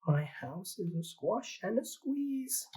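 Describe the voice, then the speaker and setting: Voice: mid-range voice, very animated, slow pace, mellow, breathy edge, pitched above the performer's own voice, heavily acted. A reader voicing a fretful little old woman who keeps begging for help with her crowded house, in a pleading, sing-song storybook manner.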